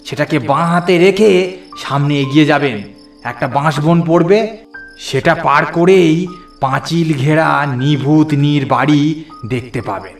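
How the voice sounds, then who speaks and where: A curious, doubting village station master for a horror cartoon. The voice is high for a man, in an echoey sound with faint music. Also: a little slow. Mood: inquisitive, wary. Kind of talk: Bengali horror cartoon voice acting.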